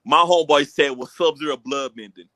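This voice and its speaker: A bright, forward American male voice in a casual podcast conversation. He speaks as one of the hosts, and the sound is dry and close. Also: a little high, a little fast, no room sound. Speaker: podcast host. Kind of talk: casual group podcast chat.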